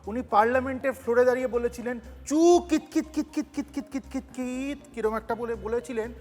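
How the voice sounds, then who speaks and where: A man's voice: very high-pitched, a little fast, a little mellow. A man giving mocking political commentary on a television panel. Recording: reverberant sound with music bed.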